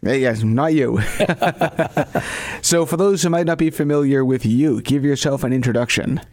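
A man's voice: mid-range voice, unhurried, a little mellow. A man hosting an interview, asking friendly prompting questions. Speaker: radio show host.

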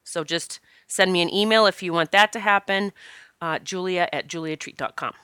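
A woman's voice: on the low side, moderate pace, sharp tone, smooth, no room sound, clean studio sound. A woman hosting her own podcast, stopped up from allergies, chatting in an encouraging way with fillers. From Northeast Pennsylvania. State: congested from allergies. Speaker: podcast host. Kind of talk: casual podcast talk.

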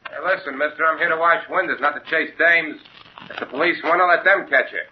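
An actor playing a gruff, irritable window washer with blunt, slangy talk in a 1940s radio drama. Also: male voice, fairly high pitch, fairly quick, natural voice. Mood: irritated. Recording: dull, noisy 1940s radio recording.

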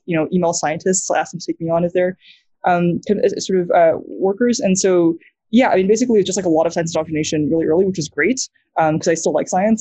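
A young woman with an American accent, a guest talking casually on a video call, quick and halting, full of fillers and restarts. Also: on the low side, mellow, no echo. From New Zealand.

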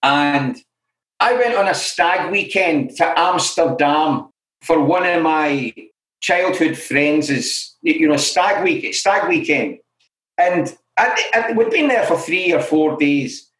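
A man's voice: a little high, expressive, slow pace, a bit bright. Frank Glaswegian man, roomy echo. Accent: Glaswegian Scottish. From Scotland. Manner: frank and self-deprecating, full of "you know" asides. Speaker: interview guest in alcohol recovery. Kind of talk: candid conversational storytelling. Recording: roomy echoey room.

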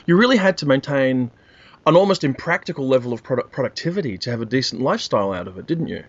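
A man's voice: mid-range voice, lively intonation, fast, no echo, highs cut off. A man co-hosts a casual panel conversation, putting questions to the guests over an internet call. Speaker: podcast co-host.

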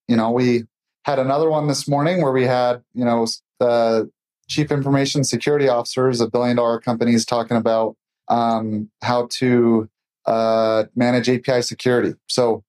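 A man's voice: medium pitch, fairly even intonation, normal speed, darkish tone. A man in his thirties, a business owner, introducing himself loosely with lots of "uh" and "you know.". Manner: filler-heavy, loose. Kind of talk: roundtable introduction.

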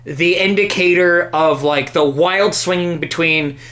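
A man's voice: on the high side, unhurried, sharp tone. A man co-hosting a podcast in casual comedic chat, rambling along with swearing and hyperbole. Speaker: podcast co-host.

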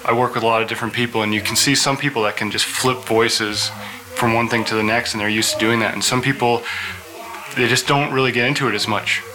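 A man's voice: medium pitch, steady tone, fast talker, over music. A man talking casually on a live panel, explaining things through anecdotes in a conversational way. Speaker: audio drama producer and panelist. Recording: noisy live room recording.

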